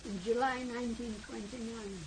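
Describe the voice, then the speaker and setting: A Scottish woman around ninety, slowly and hesitantly recalling her early life in an oral history interview. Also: fairly high pitch, steady tone. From Scotland. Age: about 90. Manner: slow, hesitant, reminiscing with fillers. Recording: hissy 1970s tape recording.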